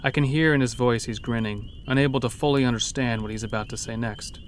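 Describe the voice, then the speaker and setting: A man's voice: mid-range voice, fairly even intonation, quick pace, a little hiss or hum. A man narrating a scripted first-person horror story as an uneasy logging worker.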